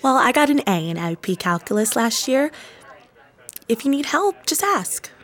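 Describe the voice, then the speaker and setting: A woman plays a teenage high school girl in a radio drama. Her voice is fairly high and very animated, with an emphatic, questioning delivery. She comes across as a protective, teasing, straight-talking best friend. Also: normal speed. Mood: teasing, supportive.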